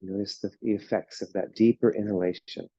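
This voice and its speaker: A man guiding a yoga class through slow breathing and relaxation, speaking in calm, soothing instructions. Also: lowish voice, no room sound, lo-fi sound. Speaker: yoga teacher.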